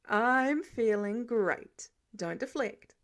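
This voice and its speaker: A woman's voice: a little high, animated, drawn-out words. A woman voicing a confident, nosy male billionaire boss, nonchalant and probing, in an audiobook. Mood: blunt, confident. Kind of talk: audiobook character dialogue.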